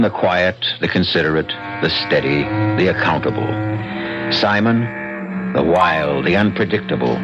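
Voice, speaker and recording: A low male voice narrates over music on a dull radio recording. He hosts in a scripted, dramatic style, leaning theatrically on key words with sweeping intonation. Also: vocal fry. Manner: deliberate, theatrical emphasis. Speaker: host and narrator.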